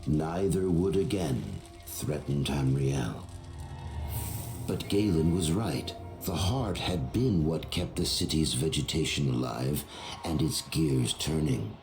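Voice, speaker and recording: A solemn storyteller narrates a fantasy game. The voice is extremely low and unhurried, measured, with a roomy echo. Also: male voice, over music, heavily acted. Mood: sombre. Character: solemn male storyteller of heroic fantasy tales. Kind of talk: scripted video game narration.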